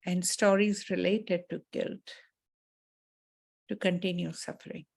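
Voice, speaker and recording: Slow, mellow woman guiding meditation. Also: fairly low pitch, fairly even intonation, gravelly. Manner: measured, soothing, with frequent deliberate pauses. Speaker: guided meditation teacher. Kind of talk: guided meditation and spiritual talk.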